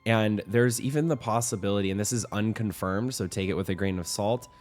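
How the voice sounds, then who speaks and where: A young man hosting a weekly game news show, a little hoarse from a cold, talking in an upbeat, rambling way. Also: lowish voice, fairly quick, a little mellow. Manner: upbeat, rambling, with frequent restarts and fillers. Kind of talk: casual game news commentary.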